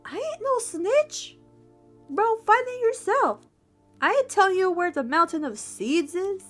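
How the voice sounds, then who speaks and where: A woman's voice: high voice, animated, takes it slowly, sharp tone, soft background music, heavily acted. A woman voicing a small, stubborn male ant in a folktale, with an offhand, slangy way of talking. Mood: defiant.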